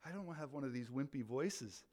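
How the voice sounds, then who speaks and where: A man who leads a ministry, talking about faith in a loud, animated way, often repeating words as he restarts. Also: mid-range voice, normal speed. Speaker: ministry leader. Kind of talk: casual faith talk.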